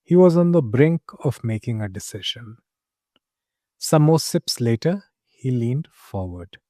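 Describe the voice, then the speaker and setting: A man playing a genial, mysterious tavern keeper who tells fantasy tales in a deliberate, dramatic, confiding manner, as scripted first-person narration. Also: mid-range voice, expressive, warm tone, no room sound, studio quality, lightly acted. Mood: hushed, intrigued.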